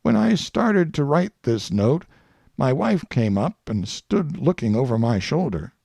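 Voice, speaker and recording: A man plays a wry, pleased-with-himself humorist at the banquet lectern, in an audiobook reading. His gravelly, mellow voice takes its time, pausing for oratorical effect and lifting and dropping for droll emphasis. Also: medium pitch, big pitch swings, no echo, heavily acted. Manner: droll, deliberate, oratorical pauses. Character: wry, self-satisfied male humorist giving a banquet speech. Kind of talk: after-dinner speech reading.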